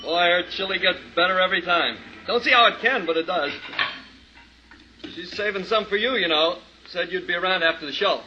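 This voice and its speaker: A man's voice: high voice, a little fast, pushed and bright. A man acting a friendly, folksy American gas station attendant in a 1950s radio drama. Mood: chatty, friendly. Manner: folksy, chatty. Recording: muffled, noisy old radio recording.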